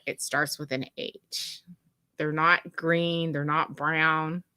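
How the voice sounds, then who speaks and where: A woman, co-hosting a casual live chat in an animated, chatty way. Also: sits low, slow pace, no echo. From West Texas. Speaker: co-host.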